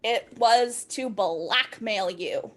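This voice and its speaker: A woman's voice: on the high side, extremely slow. A woman voicing an angry, sarcastic teenage goth girl in an exaggerated, indignant comedic dramatic reading.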